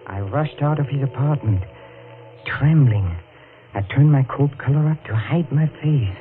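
An actor playing a lovelorn, anguished man driven to panic and crime, halting and dramatic in the manner of a 1940s radio thriller. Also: medium pitch, expressive, loud music behind the voice, close to an everyday voice. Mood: haunted, grim. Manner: halting, dramatic, repeats words in agitation. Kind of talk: 1940s radio thriller acting and first-person narration. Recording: noisy, narrow-band old radio recording.

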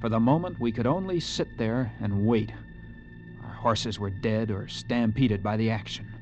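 An actor playing an earnest young cavalry lieutenant in a 1940s radio drama, measured, solemn and dramatic. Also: male voice, fairly low pitch, darkish tone, lightly acted. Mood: reflective, intense. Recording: vintage radio broadcast with music.

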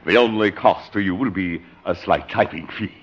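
An actor playing a wily, pompous small-time swindler posing as a campaign manager in 1950s radio comedy, with florid, theatrical salesmanship. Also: male voice, low-pitched, normal speed, breathy edge. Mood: gleefully sly. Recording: muffled, noisy 1950s radio recording.

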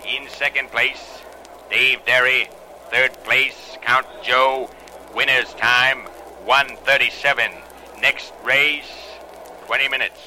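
An actor playing an excited horse race announcer calling the action in a 1940s radio drama. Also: male voice, medium pitch, slow. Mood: announcing. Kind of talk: rapid-fire race commentary in radio drama. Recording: noisy vintage radio recording.

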